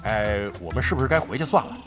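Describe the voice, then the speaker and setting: A man's voice: fairly low pitch, expressive, fast talker, lightly acted. A man playing a crude, brash veteran gamer, a cocky roguish grumbler, with coarse exclamatory delivery in an audio drama. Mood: cautious, bantering. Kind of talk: Mandarin audio drama acting, comic banter. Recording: studio drama with music underneath.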